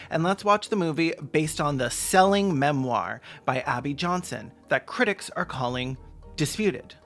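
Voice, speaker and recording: A man's voice: mid-range voice, fairly bright tone. A man doing sarcastic, snarky comedic movie commentary as a video host, with dramatic emphasis. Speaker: film reaction video host.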